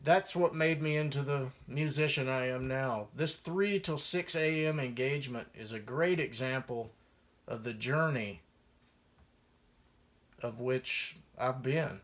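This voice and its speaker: A man's voice: medium pitch, unhurried, fairly bright tone. A man reading his own first-person memoir aloud as a musician, in a wry storytelling manner.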